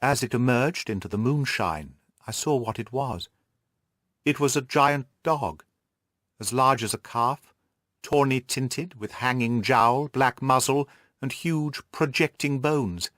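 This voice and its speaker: A man's voice: mid-range voice, slow pace, fairly bright tone, dry recording, clean studio sound. A man voicing an earnest, curious young governess who recounts events in formal Victorian storytelling for an audiobook. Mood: uneasy.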